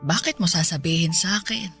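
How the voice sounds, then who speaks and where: Ailing old mother, affectionate, gently nagging, radio drama, background music. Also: lowish voice, fairly quick. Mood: fond, teasing. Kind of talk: Tagalog radio drama acting.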